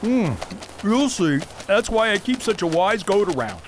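A man's voice: sits high, very animated, a little slow, a little hiss or hum. An actor playing a rotund, lazy, pompous king with florid, blustering phrasing in a radio play. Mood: blustering, smug. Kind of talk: theatrical radio drama acting.

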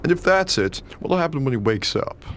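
An actor playing an anxious, analytical, argumentative husband with an earnest, questioning manner in a radio play. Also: male voice, on the high side, big pitch swings, fairly quick, a bit bright, a little hiss or hum. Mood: insistent.

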